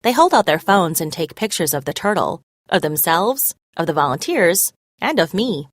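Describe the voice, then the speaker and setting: Woman doing read-aloud narration, slightly low pitch, very expressive, clear phrasing, no room sound. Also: moderate pace, dry recording, studio quality. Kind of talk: scripted storybook narration.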